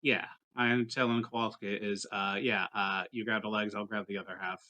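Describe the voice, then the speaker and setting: A man playing a tabletop game, talking casually and haltingly about what his character does. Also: lowish voice, flat delivery, bright, forceful tone.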